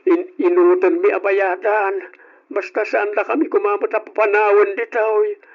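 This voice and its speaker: A gravelly old father. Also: sits high, flat delivery, moderate pace. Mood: pleading. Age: older. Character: worried old father in debt. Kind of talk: old radio drama acting. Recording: dull old radio recording.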